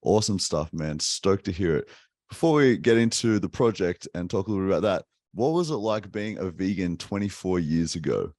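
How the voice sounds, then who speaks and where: Low-voiced dude hosting a chat, hyped and friendly, dry close sound. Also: male voice, lively intonation, fairly quick, darkish tone, no echo. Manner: upbeat and encouraging, casual slang. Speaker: podcast host. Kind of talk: casual interview chat.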